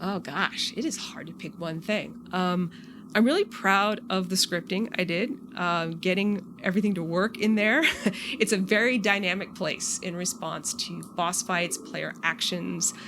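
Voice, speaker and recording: A woman's voice: on the low side, a little slow. A woman who develops game mods, giving casual, reflective answers in a conversational interview style. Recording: clearly noisy background.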